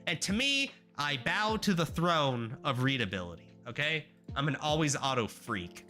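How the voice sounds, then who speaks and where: Male streamer, congested and nasal, bright tense tone, chatty rambling coding commentary. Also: a little high, big pitch swings, moderate pace, soft background music. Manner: chatty, rambling, wry asides to chat. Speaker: livestreamer learning graphics programming.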